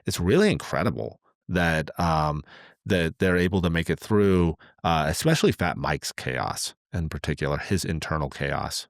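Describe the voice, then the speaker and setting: A man co-hosting a podcast, commenting conversationally and repeating words haltingly as he forms his thoughts. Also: rumbling low, gravelly, dry recording, clean studio sound. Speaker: podcast co-host.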